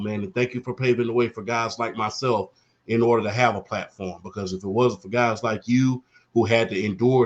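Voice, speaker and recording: Man on dull, lo-fi audio. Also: medium pitch. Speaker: sports podcast panelist. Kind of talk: spoken tribute in panel talk.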